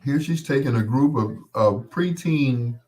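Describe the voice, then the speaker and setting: A man's voice: medium pitch, unhurried, darkish tone. An American man in his sixties hosting a casual live chat, reminiscing in a rambling, conversational way and repeating points for emphasis. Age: 60s. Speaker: host.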